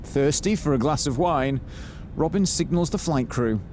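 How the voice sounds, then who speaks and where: A man's voice: a little high, normal speed, heavy background noise, lightly acted. A man playing the dramatic narrator of a mock detective serial, reading scripted narration. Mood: brisk, dramatic.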